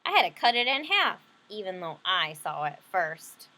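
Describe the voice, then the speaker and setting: Woman reading as a put-upon young critter big brother, lively pitch. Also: moderate pace.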